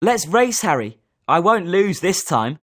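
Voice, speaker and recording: Lowish, animated British schoolboy played by a woman. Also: measured pace. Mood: confident, challenging. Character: confident schoolboy wizard, sporting and competitive. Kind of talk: scripted video game character lines.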